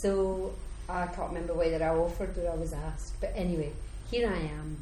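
A woman giving an informal talk as a visiting Buddhist speaker, chatty and self-deprecating, her voice slightly croaky. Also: lowish voice, fairly even intonation, brisk, mellow. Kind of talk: informal talk to a small gathering. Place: meeting room at a Buddhist centre. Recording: echoey room, faint background noise.

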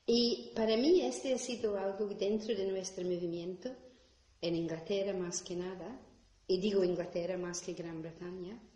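A woman's voice: medium pitch, moderate pace, mellow, roomy sound. A woman from Glasgow, a native English speaker, giving a reflective Buddhist talk in her second language, checking in with tag questions and sometimes searching for a word. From Scotland, Glasgow. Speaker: Buddhist teacher. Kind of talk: dharma talk.

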